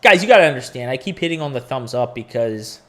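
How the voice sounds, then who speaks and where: A man's voice: mid-range voice, animated, quick pace, fairly bright tone. Candid, rambling man in his forties. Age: 40s. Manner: rambling, candid, profane asides. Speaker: crypto podcast host. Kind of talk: casual live-stream chat.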